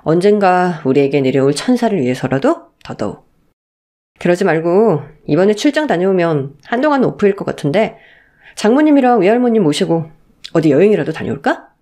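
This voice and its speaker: A woman voicing a devoted, gentle husband reassuring his wife in a radio story drama. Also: lowish voice. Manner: tender, reassuring.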